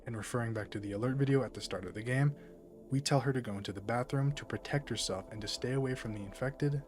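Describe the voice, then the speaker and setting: A man narrating a casual scripted video essay on a game, informal and wry, with profane asides. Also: lowish voice. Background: music behind the voice.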